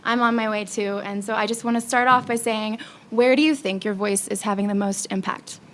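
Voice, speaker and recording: A woman's voice: mid-range voice, fairly even intonation, fairly quick, a bit bright. A woman hosting a roundtable in a casual, effusive and informal way. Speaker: panel host. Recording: faint crowd murmur and background noise.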